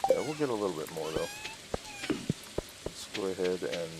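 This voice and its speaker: A man giving casual let's-play commentary, thinking aloud in short, low-key remarks. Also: sing-song, moderate pace. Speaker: gaming streamer. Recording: loud noise with rain-like clicking.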